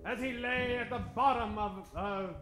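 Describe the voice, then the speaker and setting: A man's voice: very high voice, fairly even intonation, moderate pace. A man narrating a comic old-time radio serial in a dramatic, tongue-in-cheek way. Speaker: narrator, adventure host. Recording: echoey stage, music behind.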